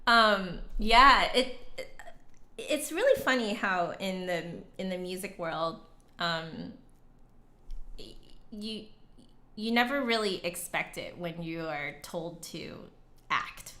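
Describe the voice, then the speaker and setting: A woman's voice: on the low side, lively intonation, slow, long pauses, bright, forceful tone. A woman hosting a casual livestream, chatting with viewers and thinking aloud in a rambling, hesitant way. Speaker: livestream host, flute musician and teacher. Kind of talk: casual livestream chat, reading and answering comments. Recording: roomy, echoey indoor sound.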